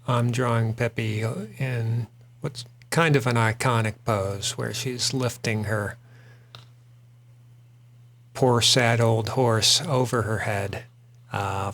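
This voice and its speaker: A man's voice: mid-range voice, a bit bright. A man hosting a drawing show, chatting casually and rambling a little with frequent fillers while he sketches. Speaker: artist and host.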